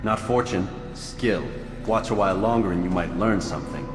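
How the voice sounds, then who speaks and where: A man's voice: low voice, moderate pace, lightly acted. An actor playing a cold, arrogant male master assassin in a video game, haughty and curt. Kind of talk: video game cutscene acting. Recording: echoey hall, music behind.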